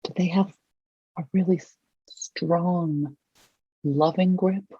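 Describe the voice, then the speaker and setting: A person leading a guided visualization, asking gentle, measured questions with long pauses between them. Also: medium pitch, fairly even intonation, slightly rough, no echo, highs cut off.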